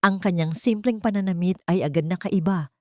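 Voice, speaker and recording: A woman's voice: fairly low pitch, a little fast, no room sound, studio quality. A woman reading a scripted, dramatic love story as its narrator.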